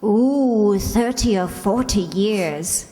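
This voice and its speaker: A woman playing a spirited, sparring romantic lead in an old-time radio drama, teasing and quick to argue. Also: mid-range voice, mellow. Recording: echoey hall stage performance.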